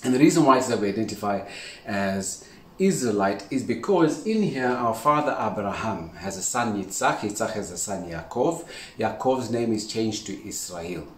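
A man's voice: on the low side, expressive, moderate pace. A man in his forties from Zimbabwe gives a casual, earnest religious talk to a camera in his home kitchen and keeps checking in with "right?". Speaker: faith teacher. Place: indoors in a home kitchen with a large window. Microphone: camera at a few steps' distance. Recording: roomy, distant sound with faint hiss.

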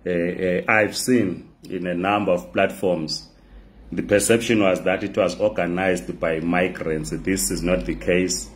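Hoarse guy, very low voice, talking slow into his phone about politics, lots of uhs. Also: steady tone. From Zimbabwe. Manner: measured, frequent "uh" pauses. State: hoarse from a flu. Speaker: political talk show host. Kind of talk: direct-to-camera political address. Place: bright indoor room. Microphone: phone camera close up.

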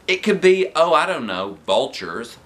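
A man voicing a pushy female talent coach in a bright, coaxing singsong. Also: fairly high pitch, big pitch swings, measured pace, pitched above the performer's own voice.

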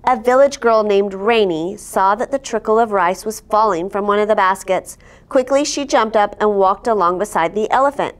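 A woman in her forties reading a picture book aloud to children as host, expressive and posing simple questions. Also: mid-range voice, moderate pace, clear, resonant tone. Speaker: storyteller, host. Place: indoor studio set at a table. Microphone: lapel.

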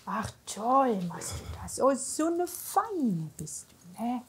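A woman's voice: a little high, very animated, slow pace, a little hiss or hum. A woman working as a horse physiotherapist, chatting casually and encouragingly outdoors, with colloquial praise and coaxing. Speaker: horse physiotherapist assessing a rider and mare.

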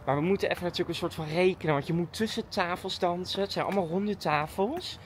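A woman's voice: fairly low pitch, fast. Chatty woman vlogging over noisy background. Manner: chatty, informal, thinking aloud. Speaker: daily vlogger and dancer. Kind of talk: casual vlog chat.

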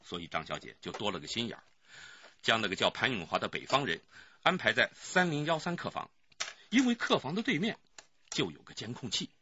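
Very animated male detective. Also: mid-range voice, brisk. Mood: intrigued. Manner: expressive storytelling. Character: animated male police detective briefing colleagues. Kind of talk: Chinese radio crime drama acting. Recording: dull recording with faint noise.

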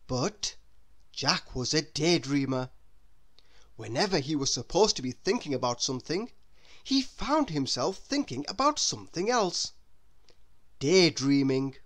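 A woman reading a children's story aloud in a deliberate, expressive way, as if to a young listener. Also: low voice. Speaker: storybook reader. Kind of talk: scripted children's story narration.

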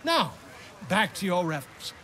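Flamboyant high voiced pompous writer for a game, swoops all over, florid. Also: male voice, very animated, unhurried, pushed and bright, slight background noise, exaggerated voice. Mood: flattering, dismissive. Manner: grandiose, florid, self-important. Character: pompous, theatrical male bard and celebrity author. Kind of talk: video game character dialogue.

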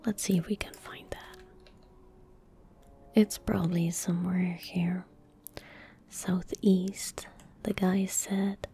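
A young woman whispering gently and breathily in a soft-spoken ASMR style as she comments on a game she plays. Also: fairly low pitch, little pitch movement. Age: young adult. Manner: gentle, unhurried, murmured asides to self. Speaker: ASMR gaming streamer. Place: indoor room at a computer. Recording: faint background noise, game ambience. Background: soft game ambience, faint noise.

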